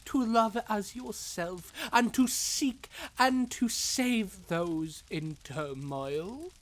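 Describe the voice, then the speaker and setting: A woman playing an imperious, sharp-tongued servant of a dragon lord in a fantasy audio roleplay, with theatrical, formal phrasing. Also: medium pitch, drawn-out words. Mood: mocking, amused.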